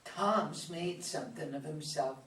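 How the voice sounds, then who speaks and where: A woman acting a sharp-tongued older farm wife in a play reading, folksy and dry, with an American accent. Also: deep voice, measured pace. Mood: tart, resentful. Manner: folksy, tart rural speech. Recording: distant mic, echoey room, faint noise.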